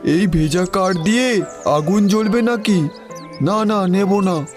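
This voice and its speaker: A man's voice: sits high, slow, music in the background. A man voicing a blunt, skeptical villager at market in a cartoon. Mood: dismissive. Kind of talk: cartoon dialogue.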